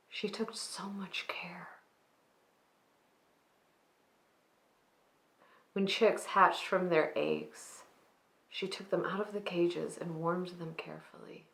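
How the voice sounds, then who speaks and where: A woman performing a dramatic monologue as a wistful, grieving granddaughter, speaking softly with restraint and pauses between phrases. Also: fairly low pitch, gravelly. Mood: tender, reminiscent. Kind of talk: dramatic monologue, slow storytelling. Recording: roomy, echoing space.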